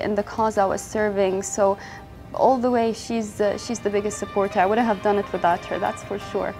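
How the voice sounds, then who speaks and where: A woman's voice: mid-range voice, a little mellow. Earnest young woman, faint music behind. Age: young adult. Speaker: fashion brand founder. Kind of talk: interview monologue.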